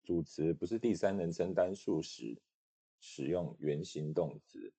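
A man narrating a language lesson, calmly explaining rules and translating example sentences. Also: deep voice, measured pace, slightly rough. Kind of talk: instructional narration.

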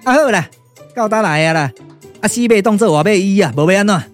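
A cheeky immortal magician from a Taiwanese puppet radio drama, played by a man. He boasts and grumbles in a folksy, clownish way with a Taiwanese accent and plenty of exclamation. Soft music runs underneath. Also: sits high, animated, fast. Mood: exasperated resignation.

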